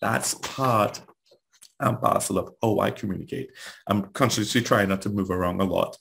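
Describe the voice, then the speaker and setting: Trinidadian guy presenting a webinar, very low voice, lots of gravel, chatty with questions to the audience. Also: normal speed, noisy background. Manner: conversational, asks rhetorical questions. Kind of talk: webinar talk.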